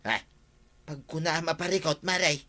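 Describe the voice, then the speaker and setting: Confused ilocano village man, sharp bright voice, radio drama. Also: fairly high pitch, steady tone, normal speed. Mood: puzzled.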